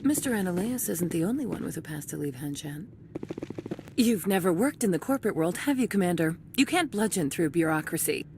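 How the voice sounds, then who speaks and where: Lowish-voiced discreet corporate employee. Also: female voice, animated, fairly quick, a little mellow, a little hiss or hum. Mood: discreet, knowing. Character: discreet, polite female corporate employee, knowing. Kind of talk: video game dialogue acting.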